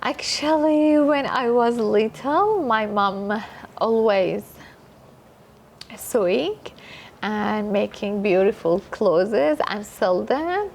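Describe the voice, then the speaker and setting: A woman, a guest in a casual interview, speaking simple, halting English with frequent laughs. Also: medium pitch, animated, a little mellow, a little hiss or hum. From Iran. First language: Farsi. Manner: halting non-native English, laughs often. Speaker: interview guest, social media influencer.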